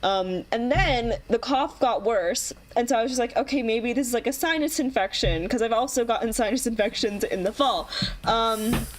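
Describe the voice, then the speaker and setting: A woman's voice: fairly high pitch, normal speed. A young woman telling a long story in casual chat with friends, rambling with lots of ums and laughing as she goes. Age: young adult. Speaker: podcast co-host.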